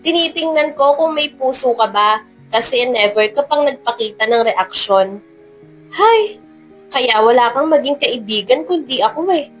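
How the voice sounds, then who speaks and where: A woman's voice: high-pitched, animated, normal speed, a bit bright, soft background music, poor audio. A woman voicing a friendly, chatty young saleslady with a bubbly, teasing manner in a narrated love story. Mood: playful teasing.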